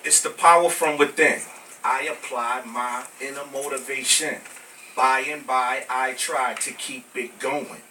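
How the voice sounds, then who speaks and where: An American man from Brooklyn performing spoken word poetry live, with emphatic, deliberate phrasing. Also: mid-range voice, steady tone, bright, forceful tone. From Brooklyn, New York, United States. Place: live poetry venue with small audience. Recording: noisy, distant amateur recording of live venue.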